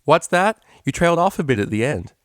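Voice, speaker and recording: A man's voice: a little high, very animated, fairly quick, fairly bright tone, no room sound, clean studio sound, pitched above the performer's own voice. Man as a cheeky, teasing female unicorn DJ. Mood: gleefully teasing. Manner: casual, teasing.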